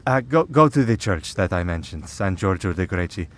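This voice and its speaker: A man's voice: low voice, expressive, moderate pace. A man playing a centuries-old vampire priest in an audio roleplay, dry, sardonic and protective. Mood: patient, wry.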